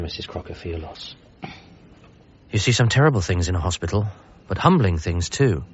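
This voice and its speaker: A grieving grown-up son in a radio comedy drama. His voice is low and swings expressively, wry yet hesitant and apologetic, on slightly muffled audio. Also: slight background noise. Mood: awkward, apologetic. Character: Tom, anxious grieving adult son, wry and awkward.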